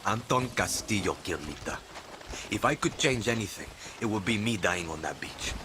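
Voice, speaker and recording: A man's voice: fairly low pitch, lively intonation, moderate pace, natural voice. A man playing a wry, defiant young rebel recruit in a video game, speaking frankly and sardonically. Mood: earnest. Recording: noticeable ambient noise behind.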